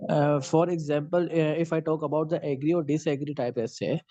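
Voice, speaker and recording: A man asking a question as a student in an online class, speaking haltingly with many fillers. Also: fairly high pitch, steady tone, dark tone, no echo. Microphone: video call. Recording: dull lo-fi video call audio.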